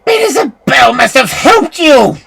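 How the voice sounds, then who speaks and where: A woman voicing a cruel, jealous old witch who talks in a shrill, menacing way in a storybook reading. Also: very animated, a little slow, fairly bright tone, breathy edge, heavily acted. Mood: furious.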